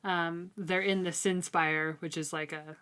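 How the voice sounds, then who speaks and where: A woman co-hosting a casual, informal book discussion, talking in a halting, conversational way. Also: fairly low pitch, steady tone, fairly bright tone, clear, resonant tone. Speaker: co-host of a book read-along discussion.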